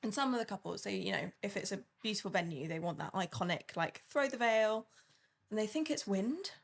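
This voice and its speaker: A woman, a wedding photographer, chatting on a podcast in a lively, bossy way and laughing often. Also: mid-range voice, a little fast, vocal fry. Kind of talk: casual podcast chat.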